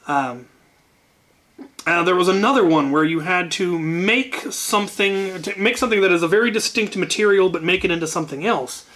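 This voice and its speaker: A man's voice: high voice, moderate pace, bright, forceful tone. A man telling an anecdote casually as a channel host, wryly, often repeating words as he gathers his thoughts.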